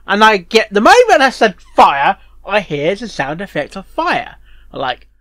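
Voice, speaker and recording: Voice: mid-range voice, wildly swooping pitch, a little slow, a bit bright, dry recording. A host giving casual reaction commentary, rambling and often repeating words and phrases. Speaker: reviewer and host of a fanfiction reaction show.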